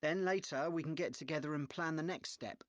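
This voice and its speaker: A man playing an easygoing, agreeable young student in a measured, understated scripted dialogue. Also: on the high side, no room sound, natural voice. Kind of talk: scripted exam listening dialogue.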